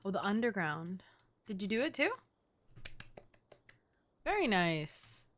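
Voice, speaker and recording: Excitable woman streamer, very animated voice. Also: mid-range voice, slow pace. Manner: excitable, exclamatory, swears freely. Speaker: video game streamer. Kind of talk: casual live gaming commentary with friends.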